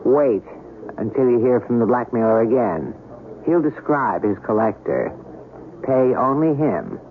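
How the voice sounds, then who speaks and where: A blunt, insistent man who trusts nobody, in a vintage radio play. His voice is mid-pitched, heard through a narrow old broadcast with faint noise. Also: animated, measured pace. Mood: instructing. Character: blunt, suspicious man advising a blackmailed woman. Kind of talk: vintage radio drama acting.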